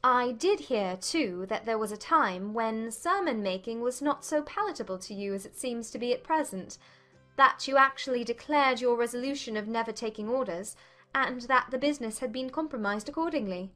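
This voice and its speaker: A woman's voice: fairly high pitch, lively intonation, normal speed, fairly bright tone, soft background music, pitched above the performer's own voice. A woman voicing a witty, poised young lady in a dramatized audiobook, arch and composed. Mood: arch, teasing. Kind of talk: dramatized audiobook character acting.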